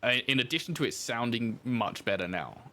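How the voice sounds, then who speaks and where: A man's voice: mid-range voice, animated, fairly quick, bright, forceful tone. A man talking casually as a guest in a podcast interview, telling anecdotes with self-deprecating asides.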